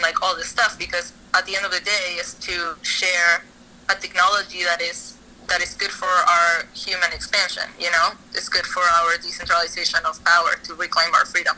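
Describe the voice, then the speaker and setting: A very bright, sharp-toned young woman from Argentina talks about her art in a relaxed interview. She rambles, doubles back and fills gaps with little fillers, over a faint background hiss. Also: lowish voice, poor audio. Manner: rambling, lots of fillers and restarts. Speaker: interviewee, artist. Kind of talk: casual interview.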